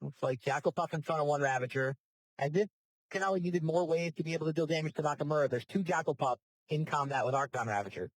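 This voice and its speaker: A man doing play-by-play commentary on a live card game match, brisk and informative, calling each play and counting the damage. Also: on the high side, no echo, rough recording.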